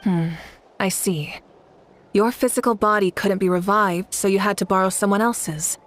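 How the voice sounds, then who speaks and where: A woman playing a courteous, composed young leader in a video game, speaking politely in a measured, formal way. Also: medium pitch, steady tone, lightly acted. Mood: composed, inquiring.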